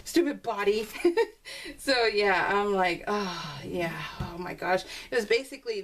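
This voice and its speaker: A woman chatting casually and rambling as a craft video host, giggly and self-deprecating, telling stories with animated exclamations. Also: medium pitch. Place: at home, voice recorded live while crafting. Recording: noisy home recording with clicks.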